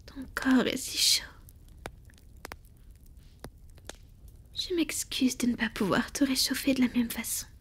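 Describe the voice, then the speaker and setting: A woman playing a tender, proud centuries-old vampire in an intimate ASMR roleplay, murmuring slowly in a soft, breathy whisper. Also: high-pitched, steady tone. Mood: tender, affectionate. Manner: slow, intimate, affectionate murmuring. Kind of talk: French-language ASMR romantic roleplay.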